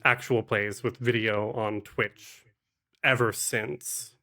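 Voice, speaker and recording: A man's voice: on the low side, steady tone, takes it slowly, bright, forceful tone. A man speaking as a podcast guest in a casual conversation, with hesitant, reflective phrasing and repeated words. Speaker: podcast guest, actual play streamer and producer.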